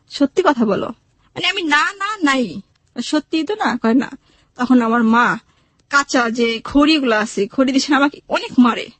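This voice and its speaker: A woman's voice: high-pitched, fairly bright tone, no room sound. A woman with a Bangladeshi accent telling her life story emotionally, in a plaintive way, acting out the dialogue she remembers. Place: radio studio.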